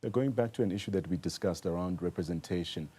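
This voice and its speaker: A South African man with a low, dark-toned voice works as a news interviewer. He puts his questions deliberately, pausing inside phrases in a broadcast interview style. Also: breathy edge.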